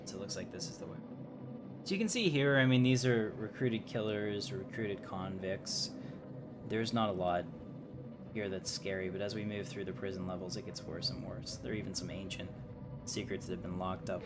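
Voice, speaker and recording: Low-voiced man rambling over loud game music. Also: normal speed. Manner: informal, rambling thinking aloud. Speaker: gaming streamer, channel host. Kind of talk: casual gameplay commentary.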